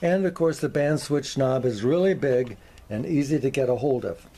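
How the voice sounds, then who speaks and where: A man hosting a workshop video, presenting and explaining in a measured, orderly way. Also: medium pitch, dark tone, slight background noise. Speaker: host of a workshop video on antique radios.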